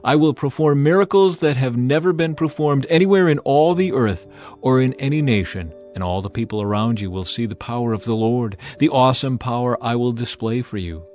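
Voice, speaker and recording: A man's voice: medium pitch, a little fast, a little mellow, soft background music, close to an everyday voice. A man voicing a solemn, commanding God in dramatized scripture, grave and declamatory. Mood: stern, commanding. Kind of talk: dramatized audiobook acting.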